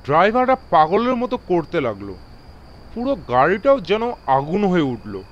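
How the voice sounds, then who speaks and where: An authoritative railway guard played by a male narrator in a horror audio drama, with a high voice that swings widely. He is emphatic and alarmed, dropping English phrases into his speech. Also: huge pitch swings, normal speed, highs cut off, a little hiss or hum. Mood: uneasy, amazed.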